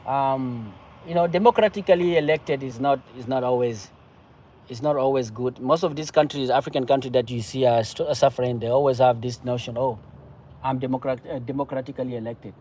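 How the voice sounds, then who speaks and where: A man from Cameroon with a Cameroonian accent, speaking off the cuff about politics in a halting, repetitive, emphatic way. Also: medium pitch, warm tone, a little hiss or hum. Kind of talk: impassioned political discussion, casual and unscripted.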